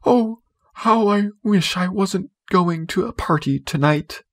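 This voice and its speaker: A man voicing a gentle, anxious storybook mother with a pleading, tender manner. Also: high voice, slow pace, no room sound, voice pushed up. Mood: fearful. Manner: pleading, soft-hearted.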